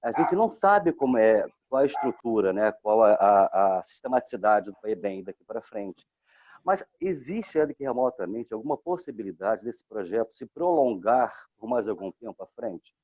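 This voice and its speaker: A man's voice: fairly low pitch, big pitch swings, normal speed, a little mellow, no echo, lo-fi sound. A man on a video call asking a polite, formally phrased question. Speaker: meeting participant.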